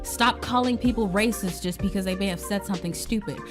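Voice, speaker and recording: Woman commentating over clear background music. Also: mid-range voice, fairly quick. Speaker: YouTube commentator. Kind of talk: casual opinion commentary.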